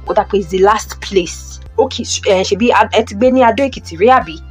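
A woman's voice: mid-range voice, fast talker, soft background music, natural voice. Persuasive Nigerian housing agent played by a woman. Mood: businesslike. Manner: hesitant starts, persuasive, businesslike. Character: brisk, pushy male housing agent. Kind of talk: audio drama acting, Yoruba-English phone conversation.

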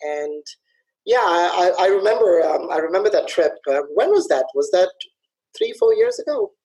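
A man's voice: high-pitched, big pitch swings, normal speed, vocal fry, a little mellow, husky, low-quality recording. A man from Somalia being interviewed over a video call, talking in an easygoing way with many fillers and light laughs. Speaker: interview guest, festival organizer. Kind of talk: casual conversational interview.

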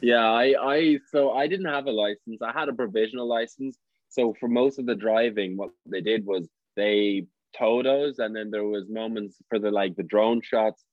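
A man's voice: fairly low pitch, normal speed, dry recording. A young Irish man, an actor, chatting in an interview over a video call, often restarting his sentences and laughing. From Ireland. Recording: dry, dull lo-fi call audio.

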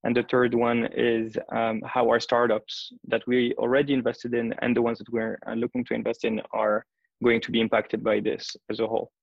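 A man from Switzerland, in his thirties, speaks with a gravelly edge and little rise or fall in his voice. He works through his answers point by point, with plenty of fillers. The sound is dull and lo-fi, and close and dry. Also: mid-range voice, completely flat delivery, moderate pace, no echo. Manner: methodical, lists points in order, frequent fillers. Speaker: venture capital investor. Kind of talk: interview answers.

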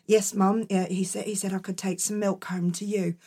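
A woman's voice: mid-range voice, animated, moderate pace. Woman doing a stammering, sheepish boy. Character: timid, foolish young boy Jack.

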